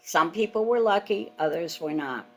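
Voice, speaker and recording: Older Hungarian-born woman interviewer, low voice. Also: expressive, normal speed, faint music behind. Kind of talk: video call interview. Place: home living room. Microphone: laptop webcam video call.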